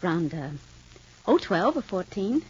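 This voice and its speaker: An actress playing a devoted wife in an old radio soap, speaking gently and a little hesitantly, on a lo-fi vintage recording. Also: female voice, medium pitch, sing-song, slow, breathy edge, full character voice. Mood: tender. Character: devoted young wife longing to adopt. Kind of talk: 1940s radio acting.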